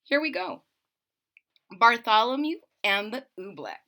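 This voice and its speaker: A woman's voice: on the high side, sing-song, measured pace, sharp tone. A woman reading a children's picture book aloud expressively, with explanatory asides as a storyteller.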